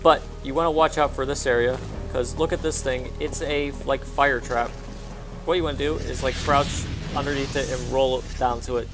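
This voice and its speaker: A man's voice: medium pitch, steady tone, normal speed. A man giving casual gameplay commentary as he walks viewers through a video game. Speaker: gamer giving a walkthrough. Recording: game music behind the voice.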